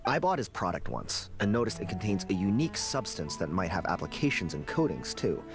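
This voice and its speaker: A bitter young researcher in a game, sulky and aggrieved, grumbling about how he was wronged. His voice sits low but rises and falls a lot, with game music underneath. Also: very animated, a little fast. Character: resentful young male researcher. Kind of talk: game voice acting. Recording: game audio with background music.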